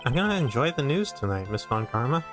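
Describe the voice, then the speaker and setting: A man's voice: medium pitch, very animated, fast, close to an everyday voice. A man voicing a smug, taunting defense lawyer in a comic fan dub. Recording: music loud under the voice.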